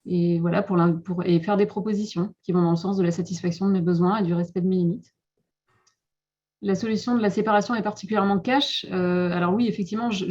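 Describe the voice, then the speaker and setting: A woman's voice: mid-range voice, a little mellow. A woman answering questions in a webinar, thoughtful and hesitant, often restarting her sentences over a video call. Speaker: guest speaker. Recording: dull lo-fi call audio.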